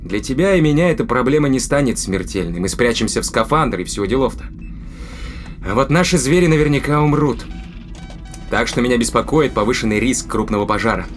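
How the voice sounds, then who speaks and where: A male actor plays a cold-blooded man of a spaceship crew in a sci-fi audio drama. He reasons deliberately and gives orders with a cruel edge, at a medium pitch, with soft music underneath. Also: close to an everyday voice. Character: cold, calculating spaceship crewman with a cruel streak.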